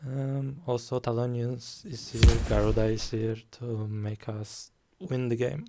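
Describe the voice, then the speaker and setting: A man streaming a card game, talking calmly and softly, with frequent ums. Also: mid-range voice, noisy background. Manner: slow, calm, soft-spoken with frequent ums. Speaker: guest streamer. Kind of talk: casual game stream commentary.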